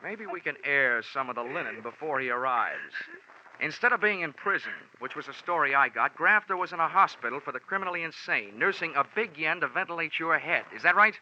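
An American actor playing a tough, wisecracking insurance investigator in a 1940s radio detective drama, with sardonic, clipped delivery. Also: male voice, on the high side, expressive, fairly quick, bright, forceful tone, a little hiss or hum. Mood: sardonic, probing. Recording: dull vintage 1940s radio recording, faint music.

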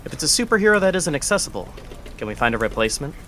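An upbeat boss chairing the morning meeting, voiced by a man in an audio drama. His voice is bright and forward, and he sounds in charge. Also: fairly high pitch, sing-song, racing, faint music behind. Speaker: foundation director leading a meeting.

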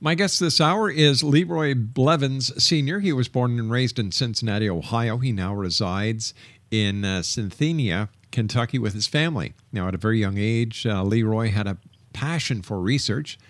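A man's voice: medium pitch, normal speed, no room sound. A man hosting a radio talk show, introducing and interviewing in a polished, announcer-like way. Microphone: close studio microphone.

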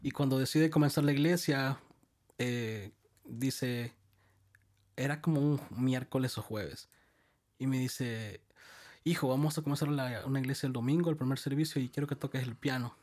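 A man's voice: medium pitch, moderate pace. Man from El Salvador, easygoing interview guest, storytelling with lots of restarts. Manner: relaxed, rambling, many restarts. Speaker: guest, music school director.

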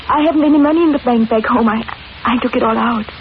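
Woman acting a quick, humble housekeeper, old radio. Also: a little high, natural voice. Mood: eager, humble. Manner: deferential, earnest. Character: eager, humble, trusting woman seeking housekeeping work. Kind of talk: 1940s radio horror acting. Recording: noisy, muffled old radio recording.

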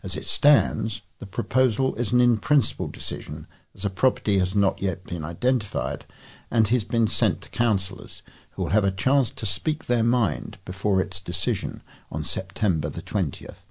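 A man's voice: on the low side, lively intonation, moderate pace, darkish tone, slightly rough. A man reading local news aloud from a script as a volunteer newsreader.

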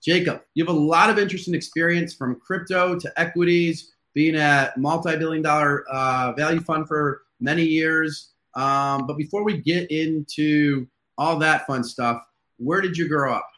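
A man's voice: on the high side, steady tone, moderate pace, fairly bright tone. A man hosting an interview in a casual style, asking his guest questions. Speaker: interview host. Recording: echoey, roomy sound.

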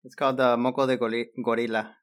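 A man co-hosting a podcast, teasing the others and asking quick questions. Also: mid-range voice, flat delivery, a bit bright, smooth. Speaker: podcast co-host. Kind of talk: casual chat.

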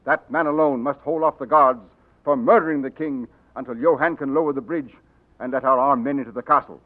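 An actor playing a gruff, loyal older colonel in a 1940s radio drama, blunt and stern. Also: male voice, mid-range voice, a little fast, natural voice. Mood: briefing. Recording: tinny 1940s radio broadcast.

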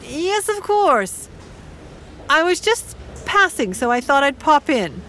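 A woman's voice: high-pitched, big pitch swings, takes it slowly, pushed and bright, a little hiss or hum, full character voice. An actress playing a polite, proper, determined middle-aged mother in an audio drama, speaking with prim formality. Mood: prim, dry.

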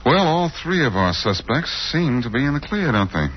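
An actor playing a cocky, quick-witted amateur sleuth who needles people, in 1940s radio style on a muffled old recording. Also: male voice, medium pitch, big pitch swings, a little hiss or hum, lightly acted. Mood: confident. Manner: smooth-talking, sardonic, teasing.

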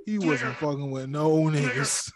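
A man's voice: on the high side, unhurried, a crowd in the background. A man co-hosting a podcast, speaking bluntly and emphatically with plenty of swearing in a casual chat. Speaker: podcast co-host.

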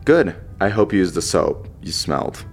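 An actor playing a cheeky, laid-back crewmate with flippant, casual banter in an audio drama. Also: male voice, low-pitched, very animated, normal speed, soft background music, full character voice. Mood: teasing.

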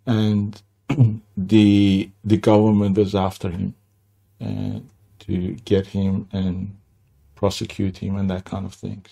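An older man from Iran with a Persian accent, telling his life story as an interview guest in a halting way, with many restarts. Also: on the low side, completely flat delivery, gravelly, warm tone, slightly rough.

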